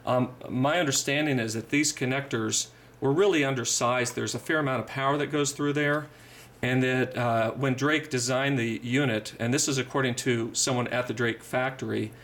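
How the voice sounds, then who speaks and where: A man giving an informal, methodical hands-on repair tutorial, speaking haltingly and repeating phrases as he goes. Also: medium pitch, steady tone, a bit bright.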